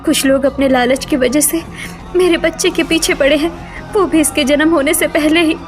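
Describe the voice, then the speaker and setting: Dying mermaid mom lady putting it on, high pleading cartoon voice, desperate, faint music. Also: fairly even intonation, normal speed, lightly acted. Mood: desperate, tearful. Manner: pleading, urgent.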